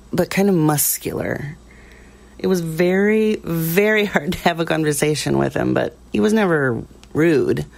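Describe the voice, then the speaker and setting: A woman playing a quiet, lonely middle-aged churchgoer who recounts her past haltingly and plainly, as in a documentary interview. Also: deep voice, gravelly, heavily acted. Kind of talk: audio drama mock-documentary interview.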